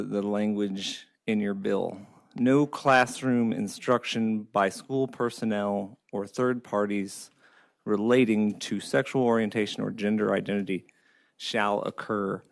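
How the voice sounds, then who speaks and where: A man questions a fellow legislator during a hearing, pointed and incredulous as he presses her. His voice is lowish and gravelly with fry. It sounds dry and close. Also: no room sound. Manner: pointed, incredulous cross-examining. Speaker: state legislator questioning a colleague.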